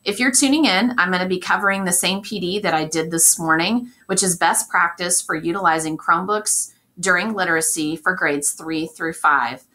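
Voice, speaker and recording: A hoarse woman, a district technology specialist, giving a chatty recorded training talk to teachers and often checking in with "okay?". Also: lowish voice, normal speed, few pauses, sharp tone. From Indiana, US. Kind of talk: recorded professional development talk.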